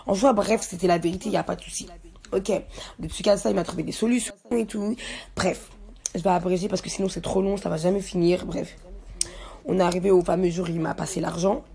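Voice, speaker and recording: A woman's voice: fairly low pitch, steady tone, a little fast, vocal fry, dark tone. A young woman giving a casual, slangy rant to her followers, defiant and emphatic, repeating herself to make her point. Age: young adult. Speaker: social media personality telling her side of a story.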